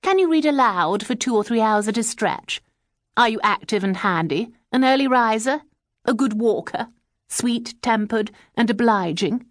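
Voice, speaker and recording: A stately, severe agency keeper, as a woman reader puts her on, talks down to people with haughty, crushing firmness. Her lines sit high and carry more force than the narration. The recording is dry and close. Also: lively intonation, normal speed, dry recording, natural voice.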